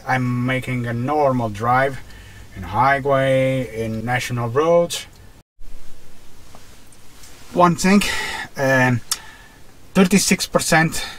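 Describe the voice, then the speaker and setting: A man with a Portuguese accent narrates his drive at an unhurried pace, his voice sitting at an average pitch. He stops often, filling the gaps with hesitations and restarts. He is speaking from inside a car. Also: a bit bright. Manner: halting, many fillers and restarts. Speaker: car vlogger. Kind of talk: casual narration while driving.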